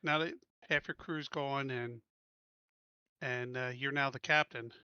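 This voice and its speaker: A man's voice: medium pitch, steady tone, unhurried, sharp tone, clear, resonant tone, no room sound, lo-fi sound. A man playing a tabletop game, chatty and rambling. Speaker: player. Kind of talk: casual tabletop play.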